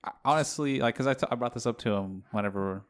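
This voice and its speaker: A man in casual podcast banter, calm and wry. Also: mid-range voice, quick pace.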